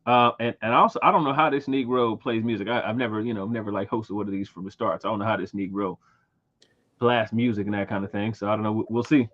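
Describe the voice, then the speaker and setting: A man in his thirties chats on a livestream in a fairly low voice, stumbling and repeating words as he goes. The audio comes through a little muffled and dull. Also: steady tone, fairly bright tone. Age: 30s. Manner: halting, many repeated words and fillers. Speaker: livestream host. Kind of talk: casual livestream chat.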